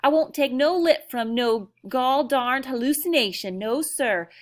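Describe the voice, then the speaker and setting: A woman voicing a tiny gruff hard-drinking cowboy man with a Texas accent, blustering and drawling. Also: sits high, unhurried, pitched above the performer's own voice. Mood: self-pitying.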